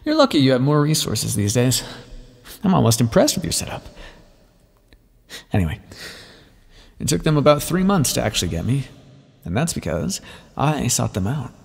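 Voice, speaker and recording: A man plays a brooding vampire who once led rebels, recounting his past in an audio roleplay. The voice is creaky and husky, with dry, bitter sarcasm in a measured, confiding delivery. It rings in a long reverb. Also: medium pitch, full character voice. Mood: wry, bitter. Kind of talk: ASMR roleplay monologue.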